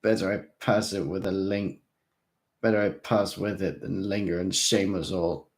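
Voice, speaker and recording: A man's voice: deep voice, steady tone, unhurried. A man voicing a drunken, despairing male vampire prince who rants and shrieks. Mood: bitter. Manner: shrieking, ranting.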